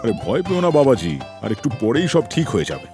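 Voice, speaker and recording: A man's voice: mid-range voice, very animated, fast. A man voicing a jovial, mocking doctor in a comic cartoon, with a theatrical, joking delivery. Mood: reassuring. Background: music.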